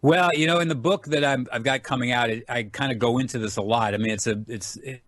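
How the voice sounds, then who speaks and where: A man's voice: mid-range voice, fast, a bit bright, dry recording, clean studio sound. A man speaking as an interview guest, telling stories casually with informal enthusiasm. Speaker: guest, film writer and enthusiast.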